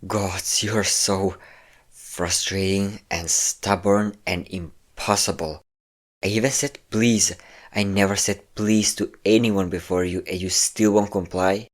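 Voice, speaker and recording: A man acts out a cocky vampire who keeps a captive. His voice is low and he talks in a smug, coaxing way, full of endearments. It is an intimate, possessive monologue in the manner of a roleplay audio drama. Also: steady tone, slow. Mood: exasperated. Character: possessive, cocky male vampire captor, obsessive and condescending.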